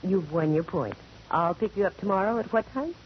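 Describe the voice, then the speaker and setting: A woman's voice: medium pitch, animated, a little fast, slight background noise, lightly acted. Woman acting a wry girl detective, vintage radio. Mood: playful. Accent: American. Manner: dry wisecracking, wry. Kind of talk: 1940s radio acting, first-person narration. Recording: muffled vintage 1940s radio broadcast.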